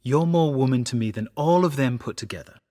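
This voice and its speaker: An actor playing an earnest, devoted, ambitious relic hunter in a fantasy video game, husky and dramatic. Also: male voice, mid-range voice, fairly quick, close to an everyday voice. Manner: dramatic, expressive.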